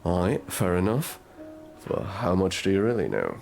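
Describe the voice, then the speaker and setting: A man playing a gruff, sardonic detective in an audio roleplay drama, drawling and murmuring through his pauses. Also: deep voice, wildly swooping pitch, a little mellow, full character voice. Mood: probing. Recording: music behind the voice.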